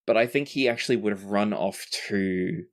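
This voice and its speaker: A game master improvising narration for a tabletop role-playing game in an animated storytelling manner. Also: deep voice, normal speed.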